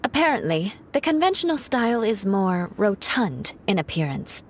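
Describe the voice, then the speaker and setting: Proud, self-reliant young noblewoman warrior for a fantasy game; poised, haughty, very varied intonation, medium pitch. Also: very animated, moderate pace. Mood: wry, proud. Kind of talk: fantasy video game dialogue. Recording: game audio with faint music.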